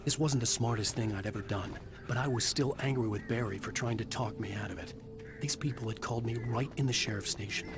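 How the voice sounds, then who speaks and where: A man's voice: medium pitch, brisk, music in the background, natural voice. An actor playing a brooding thriller hero who narrates his own story in a terse, serious manner for a video game. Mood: grim, brooding.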